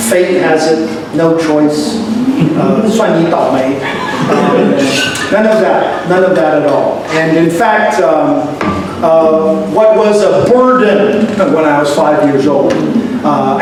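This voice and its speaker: A man in his sixties tells his story to a retreat audience, joking lightly between many small fillers. His fairly high voice carries from a distance through a very echoey hall with a clear hum of room noise behind. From Taiwan. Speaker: missionary speaker. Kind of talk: retreat testimony talk. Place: church hall.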